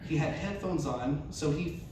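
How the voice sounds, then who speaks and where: A man with a slightly high-pitched voice reads a comic personal story to a live audience. His delivery is performed, acting out the lines. The sound is very reverberant and picked up from a distance, with clear background noise. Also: unhurried. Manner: performed delivery, acting out dialogue and self-questions. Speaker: reader at a live storytelling event. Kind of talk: reading a humorous first-person story aloud to an audience. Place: live event venue. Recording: echoey, noisy room recorded from far off.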